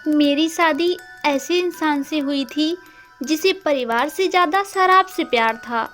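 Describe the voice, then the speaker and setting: A woman's voice: high voice, unhurried, a bit bright, soft background music. A woman playing a sweet-voiced former sweetheart in an audio story, with a teasing, questioning manner and wounded feeling underneath. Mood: sorrowful. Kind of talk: acted audio story dialogue.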